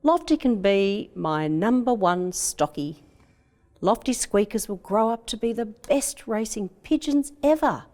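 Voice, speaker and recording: A woman's voice: mid-range voice, animated, a little slow, a little mellow, pitched above the performer's own voice, close to an everyday voice. A woman voicing an eager, hopeful young boy with an Australian accent in a children's storybook. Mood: eager, proud.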